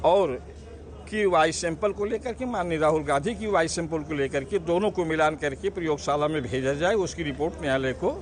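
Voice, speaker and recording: A man's voice: on the high side, a little fast. A man with a north Indian accent giving a press statement as a lawyer in formal, legalistic wording. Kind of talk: press statement on a court ruling. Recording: faint outdoor ambient noise.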